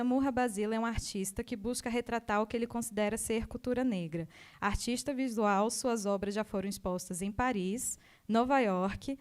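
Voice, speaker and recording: A woman with a smooth, clear voice introduces a speaker. She reads steadily and evenly. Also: a little high, darkish tone. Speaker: event presenter introducing the speaker.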